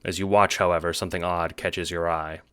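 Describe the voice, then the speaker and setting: A man's voice: low-pitched, little pitch movement, brisk, bright, forceful tone, clean tone, no room sound, studio quality. A man giving scripted narration for an audio book styled as a drama. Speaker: narrator, writer and producer.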